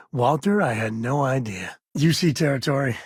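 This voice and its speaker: An actor playing an easygoing, wry spacefaring cowboy and devoted father in a video game, with a husky voice and folksy, drawling, dryly sarcastic phrasing. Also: medium pitch, lively intonation, takes it slowly, dry recording, clean studio sound. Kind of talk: video game character dialogue.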